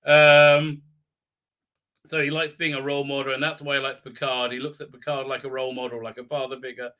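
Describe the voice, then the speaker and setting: A man hosting a fan review livestream, giving a rambling, halting, sarcastic recap with repeated words and exasperated outbursts. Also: mid-range voice, flat delivery, pushed and bright. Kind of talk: casual mocking episode recap.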